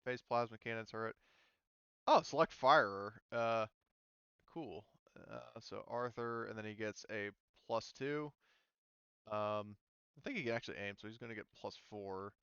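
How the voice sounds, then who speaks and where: A man's voice: on the low side, measured pace, fairly bright tone, smooth, dry recording, dull audio. A man narrating a tabletop game as game master, explaining rules casually and thinking aloud hesitantly.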